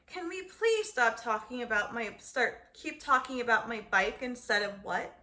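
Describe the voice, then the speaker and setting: An eager, literal-minded little girl played by a woman reader in a storybook. Her voice is high and bright, full of exclamations and persistent questions. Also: animated, unhurried. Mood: excited, insistent. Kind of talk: children's storybook read-aloud.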